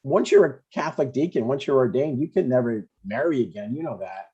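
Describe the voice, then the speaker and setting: An older widowed father played by a man at a table read, earnest but blunt. His voice is husky and mellow, swinging freely in pitch as he haltingly gives advice. The recording is dull and lo-fi. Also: lively intonation. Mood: mild, reflective. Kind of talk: scripted dialogue, comedy table read. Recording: dull, lo-fi sound.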